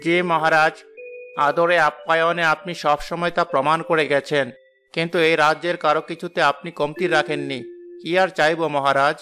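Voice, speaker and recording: A man playing a bright-voiced, humble royal minister. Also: a little high, flat delivery, moderate pace, faint music behind. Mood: grateful, respectful. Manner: formal and deferential. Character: loyal, humble, anguished royal minister and father. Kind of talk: animated fairy-tale dialogue.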